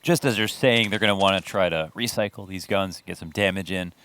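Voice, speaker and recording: Low-voiced male esports analyst. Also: brisk, background noise. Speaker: esports colour commentator. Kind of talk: conversational analysis commentary.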